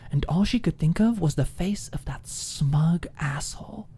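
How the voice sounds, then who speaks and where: Low, mellow, breathy woman narrator. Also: animated, unhurried. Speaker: audiobook narrator. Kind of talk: dramatic scripted narration, ASMR audiobook.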